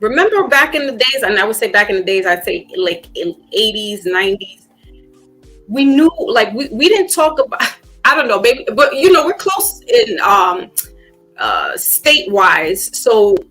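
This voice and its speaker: A woman in a mid-range voice hosts a relaxed talk, thinking aloud with plenty of ums and you-knows. She sometimes pushes her voice up when making a point, with faint music under her. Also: medium pitch, unhurried, low-quality recording. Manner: reflective, many fillers, rising to emphatic. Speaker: podcast host. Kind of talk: casual conversation.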